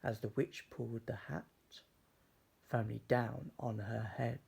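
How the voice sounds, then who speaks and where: A man reading a children's story aloud in a measured, expressive, dramatic way. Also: medium pitch, gravelly, breathy edge. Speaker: storybook reader.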